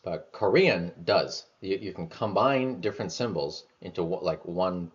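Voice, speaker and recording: A man's voice: low-pitched, expressive, a little slow. A man talking through his thoughts in a loose, rambling way, full of fillers, restarts and the odd casual swear. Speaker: vlogger. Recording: dull lo-fi sound.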